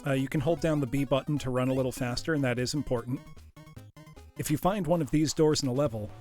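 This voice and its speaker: A man's voice: medium pitch, fast talker, dark tone. A man streaming a retro game live, chatting casually with viewers he calls his class; his voice is congested and tired. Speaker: streamer playing retro games. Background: game music.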